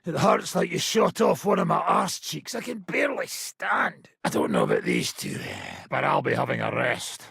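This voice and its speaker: An actor playing a foul-mouthed, swaggering mercenary gang leader in a video game, brash and sardonic, with a raspy, gravelly voice. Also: male voice, a little high, huge pitch swings, normal speed, a bit bright, dry recording, clean studio sound, exaggerated voice. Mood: gruff banter. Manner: brash, sardonic, commanding, swearing freely. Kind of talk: game dialogue, coarse medieval banter and battle shouts.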